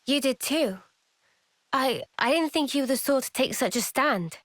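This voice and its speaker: A woman playing an earnest, sensitive young soldier in a fantasy game drama, speaking softly and hesitantly. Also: high-pitched, animated, moderate pace, dry recording, studio quality. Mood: reflective. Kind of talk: dramatic game cutscene acting.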